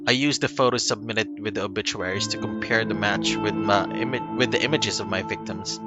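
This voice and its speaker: A man streaming a game, reading its first-person narration aloud in a calm, unhurried way with small slips and restarts. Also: on the low side. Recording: loud music behind the voice. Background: loud music.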